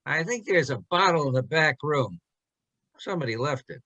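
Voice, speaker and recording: An actor playing a wry, amused saloon bartender in an old-time radio play. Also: male voice, a little high, animated, moderate pace, bright, forceful tone, no room sound, highs cut off, heavily acted.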